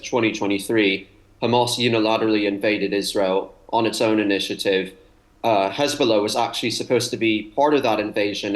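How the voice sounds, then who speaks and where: A man speaks as a guest commentator on a live news panel. His voice is on the low side, and he makes his case in measured, articulate sentences. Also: low-quality recording.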